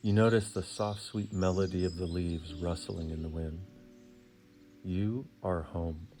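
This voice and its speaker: Male meditation guide, low register, soothing and deliberate, long pauses between short phrases, over music. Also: fairly even intonation.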